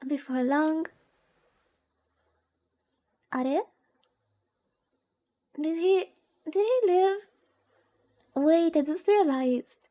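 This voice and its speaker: High-pitched, soft mellow woman streamer reading aloud. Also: expressive, extremely slow, long pauses. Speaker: VTuber streamer. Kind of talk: soothing visual novel read-aloud with chatty commentary.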